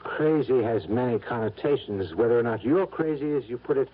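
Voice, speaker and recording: An actor playing a blunt, probing psychiatrist in a 1970s radio drama, firm and matter-of-fact. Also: male voice, medium pitch, brisk, slightly rough, close to an everyday voice. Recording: tinny narrow-band 1970s radio recording.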